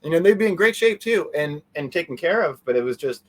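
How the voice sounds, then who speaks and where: A man's voice: a little high, animated, brisk. A man being interviewed, talking in a casual, rambling, conversational way. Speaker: interview guest, convention organizer.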